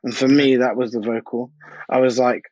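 A man's voice: mid-range voice, little pitch movement, moderate pace, a little mellow, slightly rough, no echo, highs cut off. A man speaking as an interview guest in a casual, informal, slangy way. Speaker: DJ and music producer, interview guest.

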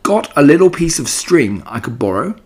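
Young storybook pig, male, played by a grown man: naive, childlike, lively swooping delivery, slightly rough tone. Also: on the high side, moderate pace, pitched above the performer's own voice. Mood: eager. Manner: earnest, excitable, childlike.

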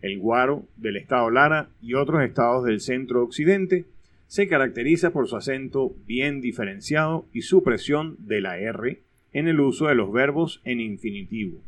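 A man's voice: mid-range voice, lively intonation, moderate pace. Venezuelan man narrating a language lesson. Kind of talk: scripted educational narration.

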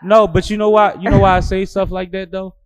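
A man, hosting a casual barstool podcast chat, laid-back and swearing freely, with loud laughing asides. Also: high voice, little pitch movement, brisk, smooth, no echo. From Philadelphia. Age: 30s. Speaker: podcast host. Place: small studio.

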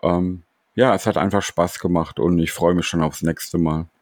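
A man co-hosting a casual podcast chat, calm and matter-of-fact. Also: low voice, moderate pace, no echo, studio quality. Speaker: podcast co-host.

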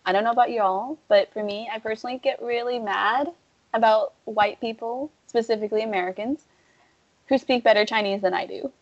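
A young woman talking casually and at length, full of fillers, turning animated when she quotes other people. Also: mid-range voice. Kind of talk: casual conversation. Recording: dull lo-fi audio.

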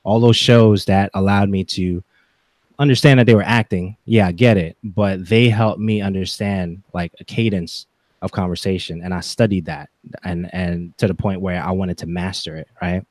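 A man in his forties talking reflectively as a podcast guest, with halting, deliberate phrasing. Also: deep voice, fairly even intonation, a little mellow, dry recording. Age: 40s. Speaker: podcast guest, gaming content creator. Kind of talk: reflective interview talk.

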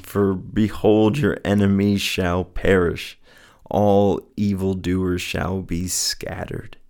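A man with a soothing voice speaking in an earnest, gently encouraging way, as the host of a personal faith show. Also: sits low, creaky, smooth. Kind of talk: casual reflective solo talk with scripture reading.

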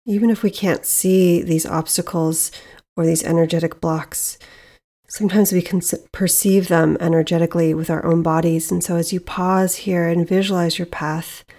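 Female meditation guide, lowish voice with a dark, relaxed tone, measured and soothing delivery, plenty of pauses. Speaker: meditation guide, podcast host.

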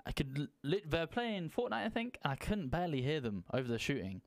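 A man co-hosting a casual chat about streaming, laughing often and getting loud when excited. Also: on the high side, brisk, no echo, clean studio sound. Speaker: podcast co-host.

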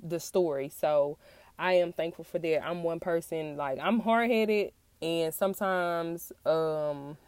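A woman speaks in a low voice that is raspy and sounds congested after being sick. She addresses her listeners in an earnest personal monologue, pausing often as she goes. The sound is dry, with very little room in it. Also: a little mellow, no echo. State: getting over a flu-like illness. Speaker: podcast host and author.

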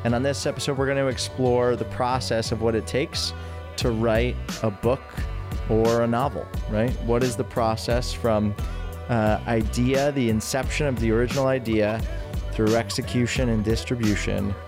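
A man's voice: mid-range voice, steady tone, normal speed. Guy hosting a podcast, chatty n upbeat, welcoming, bit of music behind him. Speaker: podcast host. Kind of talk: casual podcast interview.

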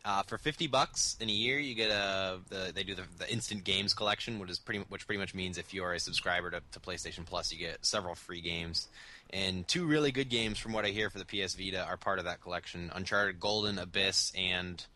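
A young man on a remote call, hoarse from a cold, chatting loosely as a podcast co-host. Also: sits low, brisk, pushed and bright. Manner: rambling, laid-back. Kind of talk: casual chat. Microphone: remote call over the internet.

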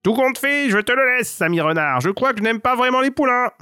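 A man's voice: very high-pitched, lively intonation, fairly quick, bright, forceful tone, clear, resonant tone, no echo, studio quality, pitched above the performer's own voice, heavily acted. A man voicing a sly, dishonest jackal with oily, mock-courteous manners in a children's tale. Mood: nervous. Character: sly, dishonest male jackal, falsely polite.

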